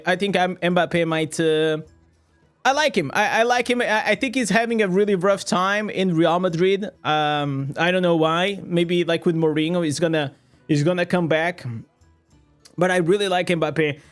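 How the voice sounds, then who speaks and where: A man's voice: high voice, fairly bright tone. A young man from Portugal, a native Portuguese speaker, chatting casually on a live stream, rambling and swearing with many restarts. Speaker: streamer reading chat.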